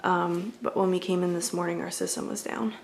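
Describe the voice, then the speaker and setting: Creaky-voiced woman as a game shop clerk. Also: fairly low pitch, steady tone, a little fast, a little hiss or hum. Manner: casual, polite, apologetic. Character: friendly, accommodating young female video game store clerk. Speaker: ASMR roleplayer playing a game shop clerk. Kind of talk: ASMR roleplay, casual customer-service chat.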